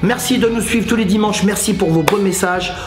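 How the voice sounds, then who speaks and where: A man's voice: high voice, brisk, reverberant, music in the background. A man, giving informal, joking motivational advice as a Muay Thai coach and video host. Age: late 50s. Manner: joking, clownish, colloquial.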